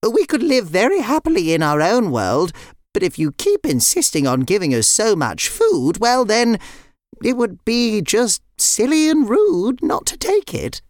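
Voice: medium pitch, wildly swooping pitch, unhurried, no echo, clean studio sound, pitched above the performer's own voice. A storyteller voicing a fussy, chatty talking chicken that explains things matter-of-factly in a children's story. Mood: explaining. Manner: explanatory, with little hesitations.

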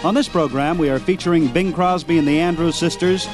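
Higher-voiced male radio host. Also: fairly quick, music in the background. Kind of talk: scripted nostalgic radio narration.

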